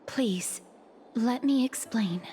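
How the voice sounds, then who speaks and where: An actress playing a gentle, earnest and resolute young woman in a video game, speaking in a formal, polite, measured way. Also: a little high, a little hiss or hum. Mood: earnest, respectful. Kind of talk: scripted video game voice acting.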